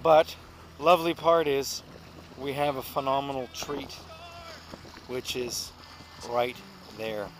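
Middle-aged man, casual outdoor vlogger, relaxed and rambling, action-cam audio with loud wind. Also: medium pitch, slow pace. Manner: relaxed, rambling with many fillers and restarts. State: walking while carrying a pack. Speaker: canoe trip vlogger. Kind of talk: casual outdoor vlog narration. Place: outdoors on a windy lakeshore and forest trail. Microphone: action camera held or worn. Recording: wind noise on action camera.

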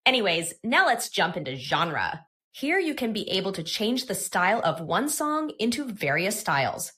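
A woman presenting software in a scripted tutorial narration. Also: medium pitch, sing-song, moderate pace.

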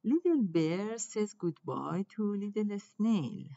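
A woman reading a children's picture book aloud in simple, deliberate phrases for young listeners. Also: medium pitch, animated, dark tone, lo-fi sound.

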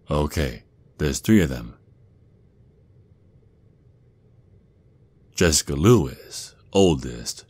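A man playing a protective werewolf father and gruff sergeant with a Southern US accent, in an ASMR roleplay with a folksy drawl. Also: a bass voice, dry recording, heavily acted. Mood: grimly determined. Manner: doting, folksy, slow drawl with pet names.

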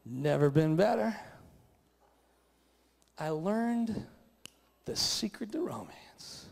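A man's voice: high-pitched, big pitch swings, slow, creaky, a little mellow, pitched below the performer's own voice, full character voice. A man voicing a self-satisfied husband who boasts complacently about his romantic routine. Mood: smug. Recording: long hall reverb.

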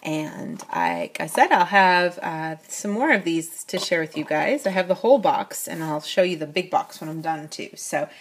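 Woman, middle-aged, toy unboxing vlog, lowish voice, filler-heavy casual talk. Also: very animated, normal speed, few pauses. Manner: chatty, with frequent fillers. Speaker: toy review vlogger. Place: at a tabletop, off camera.

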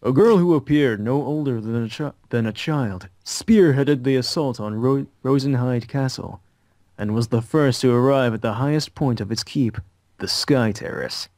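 A man's voice: medium pitch, normal speed, darkish tone, no echo, clean studio sound. A man reading visual novel narration aloud as the narrator of a fan dub.

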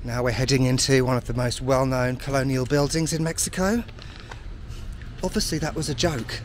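A man's voice: mid-range voice, fairly even intonation, normal speed. A man from the UK giving casual, wry commentary as he walks outdoors through city streets. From United Kingdom. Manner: chatty, wry, self-deprecating asides. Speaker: travel vlogger. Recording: faint outdoor street noise. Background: faint street and traffic noise.

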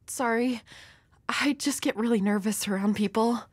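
A woman's voice: fairly high pitch, measured pace, slightly rough, no room sound. A woman playing a nervous, dorky young woman with a crush in an intimate roleplay audio, hesitant, stammering and apologetic. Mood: shy, flustered.

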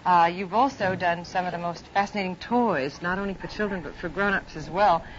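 Poised American woman, formal TV interviewer, 1950s broadcast sound with dull tone and clear background noise. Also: on the low side, fairly quick. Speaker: television host. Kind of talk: polished television interview. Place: television studio. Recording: muffled, noisy 1950s television broadcast.

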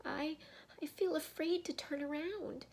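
A woman's voice: high-pitched, steady tone, measured pace, pitched above the performer's own voice. A woman voicing a timid, anxious storybook girl who speaks hesitantly and shakily. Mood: frightened. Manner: hesitant, shaky. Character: timid, anxious older girl, fearful and questioning.

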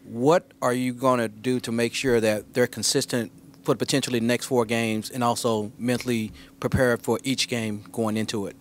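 A man's voice: mid-range voice, little pitch movement, moderate pace, slight background noise. A man working as a reporter, putting a question at a press conference. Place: indoor press conference room, off camera.

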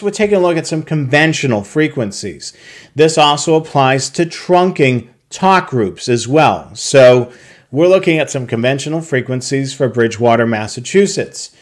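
A man narrating a slide tutorial, explaining a technical process step by step with occasional fillers. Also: mid-range voice. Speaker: product tutorial presenter.

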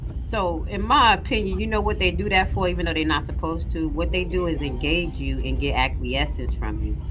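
A woman's voice: sits low, background noise. Laid-back woman telling stories. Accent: New Jersey. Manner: laid-back, storytelling, laughs often. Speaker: host. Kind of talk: casual phone conversation.

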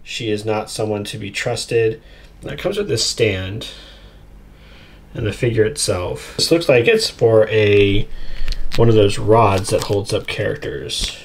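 A man's voice: fairly low pitch, a little slow. A man hosting an unboxing video with casual, chatty commentary and easygoing asides to viewers. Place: indoors at a tabletop. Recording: clear background noise, crinkling packaging. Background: crinkling plastic bags, clicks.

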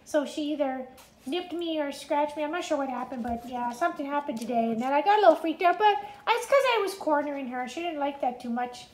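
A woman's voice: high voice, expressive, a little fast, roomy sound. A woman voicing her young female pet dog as a cheerful, rambling little host of a home news vlog, talking in a childlike sing-song way. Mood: chatty. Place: home kitchen.

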